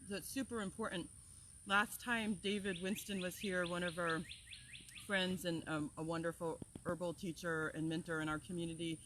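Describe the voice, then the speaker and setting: A woman from Florida, an herbal teacher, talking in an energetic, passionate way as she opens an outdoor class. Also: lowish voice, a little hiss or hum. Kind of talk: casual talk to open an outdoor class. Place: outdoors among plants, with birds and insects.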